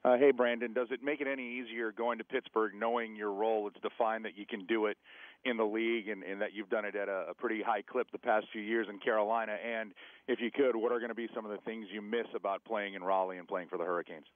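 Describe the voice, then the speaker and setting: A male sports reporter fires off questions at a fast clip in a fairly low voice. The connection is a thin telephone line. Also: steady tone, no room sound. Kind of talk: press conference questioning. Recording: tinny dry phone line.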